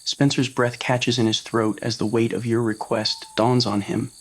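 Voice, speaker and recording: A man's voice: medium pitch, level tone, normal speed. Man narrating a scripted romantic story. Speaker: narrator of fan fiction audio roleplay.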